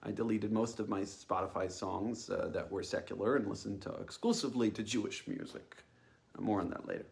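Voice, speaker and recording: A young man telling a personal story informally, in a halting, hesitant way with frequent ums and a wry, self-aware tone. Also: fairly low pitch, gravelly, darkish tone.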